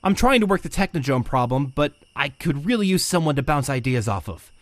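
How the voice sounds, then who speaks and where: A man's voice: on the high side, very animated, fairly quick, dry recording. A man playing a brainy, anxious young mutant turtle in an audio comic drama, casual and thoughtful. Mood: worried.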